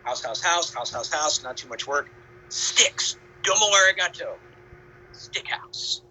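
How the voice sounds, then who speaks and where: A man's voice: a little high, lively intonation, measured pace, pushed and bright, a little hiss or hum, exaggerated voice, rough recording. A man playing a lazy, laid-back young pig who only wants to dance, speaking in a casual slacker way. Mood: breezy, distracted. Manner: slacker drawl, casual, noncommittal.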